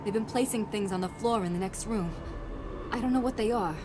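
Anxious female doctor played by an actress, medium pitch, candid, game scene with clear background noise. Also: a little fast, natural voice. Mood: worried, frank. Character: anxious, frank woman doctor in hiding.

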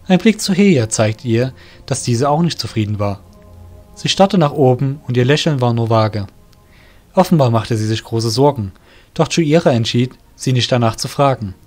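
Casting: male storyteller for fantasy radio play, mid-range voice, soft music underneath. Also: medium pitch. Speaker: narrator. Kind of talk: scripted audio drama narration.

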